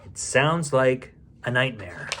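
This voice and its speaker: A man's voice: mid-range voice, animated, takes it slowly, sharp tone, slight background noise. A man reading a storybook aloud expressively, with casual muttered asides as he goes. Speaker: bedtime story reader.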